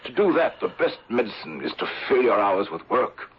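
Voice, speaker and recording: Very husky, fatherly older gentleman. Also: lowish voice, big pitch swings. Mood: fatherly concern. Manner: measured, formal, paternal. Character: courtly older male insurance manager, fatherly and reasonable. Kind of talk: 1970s radio drama acting. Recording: dull lo-fi old radio broadcast.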